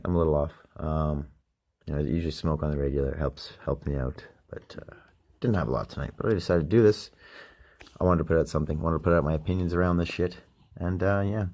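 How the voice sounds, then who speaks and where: A Canadian man hosting a casual late-night solo podcast, rambling and profane, trailing off into mumbles and then bursting into loud emphasis. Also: low-pitched. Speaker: podcast host.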